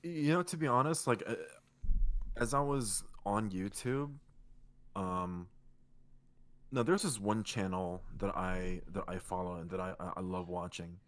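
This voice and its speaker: Guy with a lowish soothing voice, rambling chill podcast chat, swears a lot. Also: measured pace, no room sound. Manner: rambling, relaxed, swears casually. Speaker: podcast co-host and streamer. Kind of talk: casual chat.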